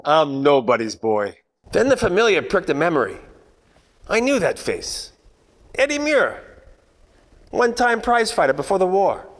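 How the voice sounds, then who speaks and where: A man's voice: high voice, sing-song, normal speed, long pauses. A man playing a wisecracking, cocky 1940s private detective with wry, world-weary slangy delivery in a radio noir parody. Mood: guarded, wry. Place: theatre production shot before green screen. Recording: echoey hall, faint noise.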